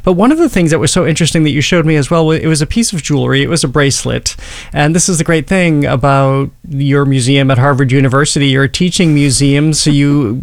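A man interviewing a guest, asking quick, enthusiastic questions. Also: on the high side, dry recording.

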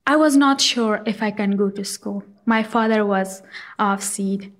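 A young woman from Afghanistan telling her own life story in an earnest, halting way, with frequent fillers. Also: medium pitch. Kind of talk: personal storytelling.

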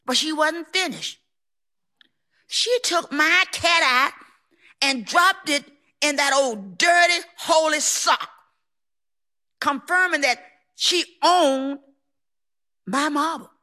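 A woman performs a personal story in a high, bright voice. Her delivery is folksy and emphatic, with a theatrical feel. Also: expressive, slow pace, long pauses. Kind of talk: live personal storytelling on stage.

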